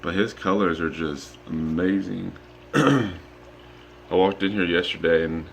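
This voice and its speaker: Sleepy young man with a very low voice. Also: measured pace, vocal fry, slight background noise. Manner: relaxed, rambling with many ums. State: sleepy, just woke up. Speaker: aquarium vlogger. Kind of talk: casual walkthrough vlog. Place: home room with fish tanks, indoors. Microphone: handheld phone camera.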